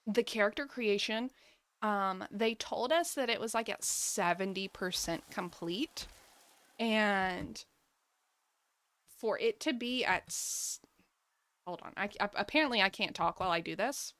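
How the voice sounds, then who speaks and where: A woman's voice: medium pitch, unhurried, a bit bright, no echo. A woman doing casual live gaming commentary, chatting and thinking aloud as she plays. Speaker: game streamer.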